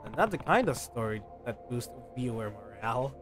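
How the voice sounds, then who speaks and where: A man's voice: mid-range voice, very animated, normal speed. Playful male game streamer over music. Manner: playful, ad-libbing, stumbles while reading. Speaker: livestreamer. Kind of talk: reading game dialogue aloud with casual commentary. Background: video game music.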